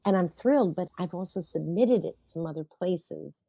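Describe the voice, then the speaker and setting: A woman's voice: on the low side, lively intonation, moderate pace, gravelly, dark tone, husky, dry recording. A woman playwright chatting in an interview, telling wry, self-mocking stories from her life. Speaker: playwright guest. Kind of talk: conversational interview.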